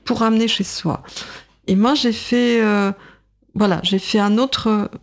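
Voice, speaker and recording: A woman's voice: medium pitch, fairly even intonation, a little slow. A woman in her sixties, a writer and translator, speaks as a guest in a radio interview, thinking aloud with many fillers and restarts. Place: radio studio.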